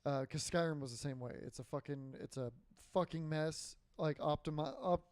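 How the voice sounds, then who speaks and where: A man co-hosting a casual gaming podcast, his voice hoarse and dry, sitting a little higher than most men's. He rambles through lots of fillers and swearing. The sound is dry and close, with no room in it. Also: normal speed, a little mellow, dry recording. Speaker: podcast co-host.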